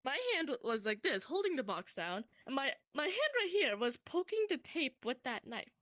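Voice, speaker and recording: Lively, high-voiced young woman telling stories. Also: moderate pace, dry recording, clean studio sound. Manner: animated, profane, rambling. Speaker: storyteller. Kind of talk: casual personal storytelling with a friend.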